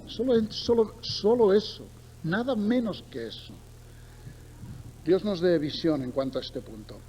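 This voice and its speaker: A man's voice: sits high, lively intonation, a little slow, mellow, soft background music, poor audio. A middle-aged man from Barcelona with a Spain accent, preaching a sermon with emphatic repetition and conversational asides to his listeners. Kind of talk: sermon with Bible reading and storytelling. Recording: noticeable background noise.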